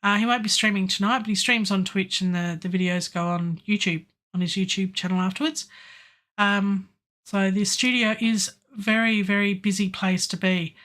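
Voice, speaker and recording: A middle-aged woman with a hoarse, sore throat, thinking aloud as she works on a song at home, with lots of ums and pauses. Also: mid-range voice, steady tone, fairly bright tone. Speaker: music producer streaming a songwriting session. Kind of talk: casual live-stream talk while working. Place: home studio, indoors. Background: faint music playback from the session.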